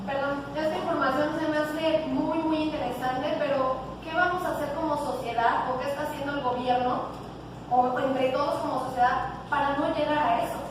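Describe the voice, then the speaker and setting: A woman's voice: high voice, steady tone, moderate pace. A woman with a Mexican accent speaking spontaneously as an audience member putting a question. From Puerto Vallarta, Mexico. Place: conference hall, among the audience. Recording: echoey hall, distant, noisy.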